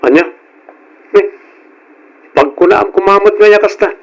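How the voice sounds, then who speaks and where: A man's voice: high-pitched, moderate pace, creaky. A man acting a brash, evasive young womanizer in an old-style radio drama. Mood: defensive, irritated.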